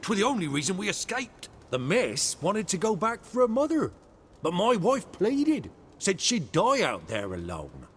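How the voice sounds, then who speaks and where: An actor playing a poor, humble, frightened peasant in a fantasy game, deferential, halting and speaking in rustic, uneducated dialect. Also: male voice, high voice, wildly swooping pitch, fairly bright tone, slight background noise, full character voice. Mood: haunted, tense. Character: poor, fearful, humble peasant man recounting dread events. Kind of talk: video game character acting.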